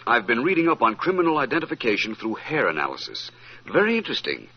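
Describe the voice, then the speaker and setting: An actor playing an urbane, unflappable gentleman detective in an old-time radio drama, polite and drily witty. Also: mid-range voice, huge pitch swings, fast talker, husky, exaggerated voice. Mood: unruffled, amused. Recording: dull, faintly noisy vintage radio recording.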